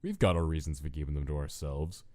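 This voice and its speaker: A man doing a deep, lazy joker skeleton. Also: fast talker, a little mellow. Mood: teasing. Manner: drawling, teasing casual speech. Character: laid-back joking short male skeleton. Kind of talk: fan comic dub.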